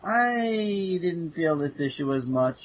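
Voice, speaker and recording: Male podcast co-host, lighter-pitched voice, informal recap chat, dull recording. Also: animated, slow. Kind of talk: casual chat, comic book recap.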